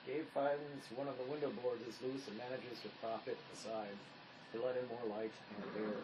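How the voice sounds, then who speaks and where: A man's voice: mid-range voice, fairly even intonation, normal speed, noisy background. A man reading stage directions as the narrator of a drama, in scripted narration.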